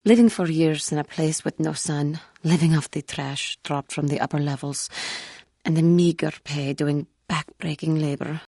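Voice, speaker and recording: A woman playing a wounded, earnest female alien Jedi in a video game, speaking in formal, measured phrases. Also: deep voice, mellow, no echo, studio quality. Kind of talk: scripted video game character dialogue.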